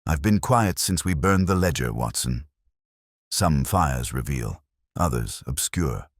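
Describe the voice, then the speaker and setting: A man reading the part of a commanding, brooding detective who speaks cryptically and deliberately in an audiobook. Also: very deep voice, lively intonation, dry recording, clean studio sound, full character voice. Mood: cryptic.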